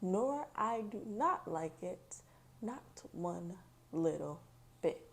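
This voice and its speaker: A woman's voice: on the low side, animated, slow, gravelly, full character voice. A woman voicing a fussy little male pet fish from a picture book, scolding and protesting indignantly with heavy emphasis. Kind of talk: animated children's picture book reading.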